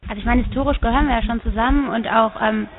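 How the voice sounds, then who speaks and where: A German woman giving lively interview answers and piling up examples. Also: on the high side, slight background noise. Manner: fast, animated, piles up examples. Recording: tinny, phone-like radio sound.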